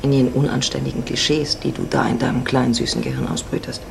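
A woman's voice: a bass voice, steady tone, quick pace, creaky, a little hiss or hum, heavily acted. An actress playing a worldly, flirtatious married woman in her forties, sardonic and self-assured, in a radio drama. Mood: knowing, bittersweet. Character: worldly, teasing 45-year-old married Mexican woman.

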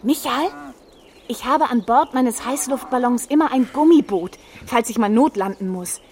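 A woman's voice: on the high side, normal speed, a little mellow, slight background noise, close to an everyday voice. An actress playing a cheerful, meddling female balloon adventurer in a children's audio play, chatty and encouraging, explaining things to young listeners.

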